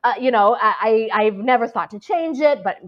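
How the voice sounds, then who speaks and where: A woman actress talks as a guest, her voice fairly high. She rambles in a chatty way, with lots of fillers and restarts. The audio sounds dull and lo-fi. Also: animated, normal speed. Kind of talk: casual interview chat.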